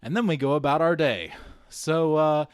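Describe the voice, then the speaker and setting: A man's voice: fairly high pitch, moderate pace, smooth, dry recording, studio quality. A man narrating a casual screen-share vlog, informally thinking aloud as he works through tasks on the computer. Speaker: vlogger and video editor.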